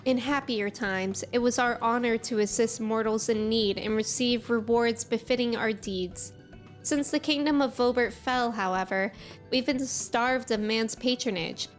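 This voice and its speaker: A woman voicing a proud fae creature from a game, reading its dialogue in a formal, measured, old-fashioned way. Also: fairly high pitch, faint music behind, natural voice. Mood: solemn, formal.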